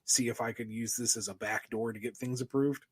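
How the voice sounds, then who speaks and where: A man's voice: mid-range voice, fairly even intonation, fairly quick, breathy edge, dry recording. A man in casual podcast talk as a writer, wryly acting out quoted exchanges. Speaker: comic book writer.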